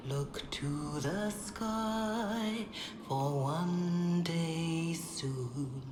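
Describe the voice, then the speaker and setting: A woman playing a solemn, comforting cleric in a video game, leading a slow hymn. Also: sits low. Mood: solemn, hopeful. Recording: echoing space with music behind.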